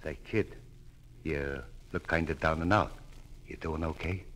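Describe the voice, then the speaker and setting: An actor in a 1940s radio crime drama playing a smug gangster who feigns friendliness with oily, taunting slang. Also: male voice, extremely low pitch, measured pace, a bit bright, full character voice.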